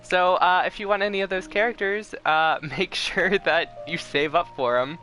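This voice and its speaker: A male streamer talks in a bright, slightly high voice. His chat is informal, wry and self-deprecating, with some game music behind him. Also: fairly even intonation, normal speed, poor audio. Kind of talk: casual livestream chat and commentary.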